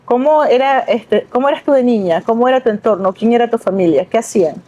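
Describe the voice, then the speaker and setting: A woman hosting a podcast, asking encouraging, conversational questions with lively reactions. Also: on the high side, quick pace, dry recording, dull audio. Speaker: podcast host, interviewer. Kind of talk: casual conversational interview.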